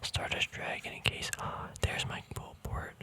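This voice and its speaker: Male, soft whisper, ASMR show-and-tell, casual, hesitant, musing, trailing off. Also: unhurried. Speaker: ASMR video creator showing a trading card deck.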